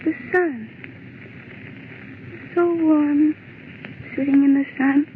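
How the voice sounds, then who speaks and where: Slow, dreamy, deluded woman. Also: high-pitched, fairly even intonation, phone-quality sound. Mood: dreamy, blissful. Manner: dreamy, slow, eerily placid. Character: dazed, childlike woman lost in a sunny delusion. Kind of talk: old-time radio horror acting. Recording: noisy, band-limited old radio recording.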